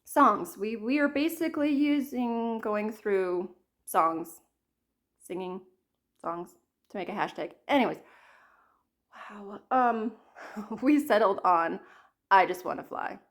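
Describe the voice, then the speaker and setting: A woman in her thirties chatting casually about her cross-stitch projects, her voice a little croaky from a cold, rambling and apologizing often. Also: medium pitch, takes it slowly, pauses often. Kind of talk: casual chat to camera.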